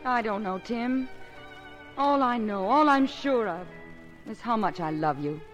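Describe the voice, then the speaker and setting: An actress with an American accent playing an anxious, devoted young wife in 1950s radio drama style, hesitant and pleading. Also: female voice, a little high, animated, measured pace, highs cut off, faint music behind. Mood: anxious, tender. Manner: hesitant, pleading, breaking off mid-phrase. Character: anxious, devoted young wife turned reluctant movie star.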